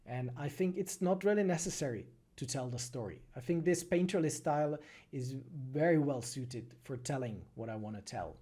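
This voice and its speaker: A man from the Czech Republic with a Czech accent, answering viewer questions casually on a livestream, phrasing things thoughtfully with frequent pauses. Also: medium pitch, expressive, warm tone, breathy edge. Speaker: animated film creator and streamer.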